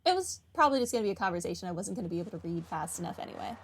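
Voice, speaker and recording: A woman's voice: lowish voice, sing-song, very fast, slight background noise. A woman streamer giving casual, chatty playthrough commentary with dry, self-deprecating humor. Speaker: game streamer. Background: game music.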